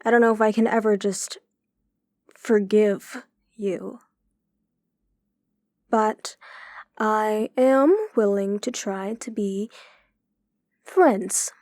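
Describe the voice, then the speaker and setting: A woman's voice: mid-range voice, fairly even intonation, drawn-out words, long pauses, a little mellow, dry recording. An actress playing a guarded, tough female police chief in an audio drama, with a dry, firm manner. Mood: firm, annoyed.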